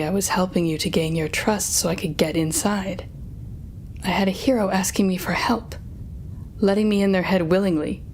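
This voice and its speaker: Villainess for audio roleplay, played by a woman: slightly low register, theatrical and needling, intimate address to the listener, faint noise behind. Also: a little mellow, natural voice. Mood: cold, defiant. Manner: taunting, theatrical, drawn-out teasing phrasing. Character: sardonic female telepathic supervillain, defeated but smug.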